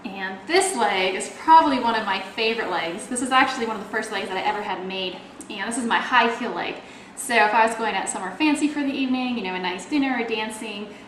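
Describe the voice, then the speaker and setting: A woman's voice: medium pitch, a little fast, fairly bright tone, roomy sound, a little hiss or hum, poor audio. A young woman with a Canadian accent, chatting casually in a video blog and explaining things with plenty of fillers. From Toronto, Canada. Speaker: athlete video blogger.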